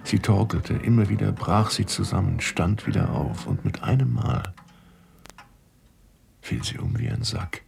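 An actor in a German radio drama playing an obsessed, agitated scientist who speaks haltingly and intensely. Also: male voice, low voice, very animated, creaky, darkish tone, full character voice. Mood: horrified. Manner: halting, intense, self-justifying. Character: obsessed, agitated male scientist under an eerie music's spell.

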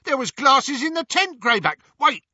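A man voicing a skittish, excitable henchman with a high, strained, yelping voice and urgent, rough grammar. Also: moderate pace, no echo, lo-fi sound, exaggerated voice. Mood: excited yelping. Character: skittish, excitable male henchman in a kidnapping gang.

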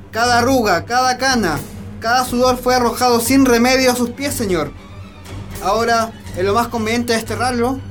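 A lovestruck young Chilean peasant in a radio play. His voice is extremely high for a man, solemn and respectful yet defiant, over faint music. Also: a bit bright. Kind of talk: Spanish-language radio drama acting.

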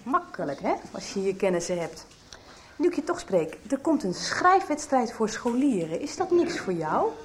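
A woman's voice: a little high, very animated, moderate pace, a little hiss or hum. An actress playing a chatty, confident woman in casual conversation in a radio soap. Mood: friendly, casual. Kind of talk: Dutch radio soap acting.

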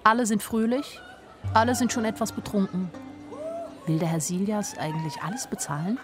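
A woman's voice: mid-range voice, moderate pace, over music, close to an everyday voice. An actress playing a naive but observant young woman who tells her own story in a wry, plain-spoken radio drama narration. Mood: amused, observant.

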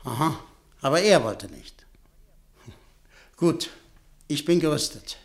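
A man acting a smooth, calculating middle-aged businessman in a German radio play, coolly polite. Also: fairly high pitch, big pitch swings, takes it slowly, heavily acted. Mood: calm. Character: scheming middle-aged male press magnate. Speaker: businessman Buscan.

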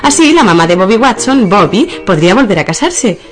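An actress with a Castilian accent playing an earnest, easily offended wife in absurdist radio theatre, with theatrical delivery. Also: female voice, medium pitch, sing-song, brisk, faint music behind, close to an everyday voice. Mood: earnest, puzzled. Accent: Castilian Spanish.

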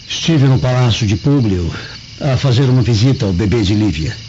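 A man's voice: medium pitch, lively intonation, normal speed, background noise, natural voice. A man playing a bitter, suspicious Roman husband in a radio drama, with a probing, sardonic manner. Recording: dull lo-fi audio with music.

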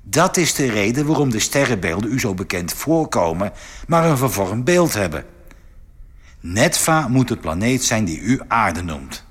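Alien scientist in reverberant hall. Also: male voice, fairly low pitch, very animated, normal speed, husky. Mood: formal, inquiring. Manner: formal, precise. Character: formal, inquisitive alien scientist interrogator. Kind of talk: radio drama acting.

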